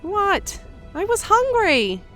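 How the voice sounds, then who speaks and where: A woman voicing a small, childlike, mischievous female creature in a squeaky, mock-innocent way for an audio story. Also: huge pitch swings, slow, a bit bright, heavily acted. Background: music.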